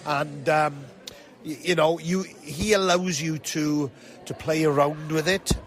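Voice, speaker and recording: A man's voice: a little high, steady tone, measured pace. A man, a pantomime actor, chatting casually in an interview in a jovial, self-deprecating way. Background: music.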